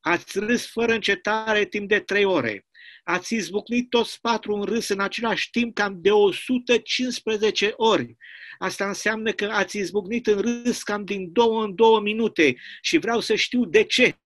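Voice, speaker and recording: A man reading a play as a stern, bullying communist prison governor who barks suspicious, drawn-out questions. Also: high-pitched, a bit bright, pitched above the performer's own voice. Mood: interrogating. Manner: barking, interrogating, drawn-out emphatic questions.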